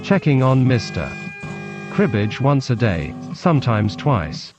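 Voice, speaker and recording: A man with a Scottish accent reads a literary story laced with Scots words in a deadpan, clipped manner. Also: medium pitch, unhurried, music in the background. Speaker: narrator, storyteller. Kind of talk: literary story reading in Scots-flecked English. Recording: muffled lo-fi recording with background noise.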